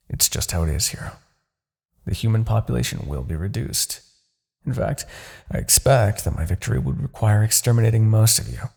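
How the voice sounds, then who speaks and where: A demon king in a fantasy roleplay audio, immortal, smug and coldly persuasive. The voice is very husky and thick with gravel, and it swings expressively up and down. He lingers on words with theatrical, condescending menace. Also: fairly low pitch, a little mellow, heavily acted. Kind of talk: fantasy ASMR roleplay acting.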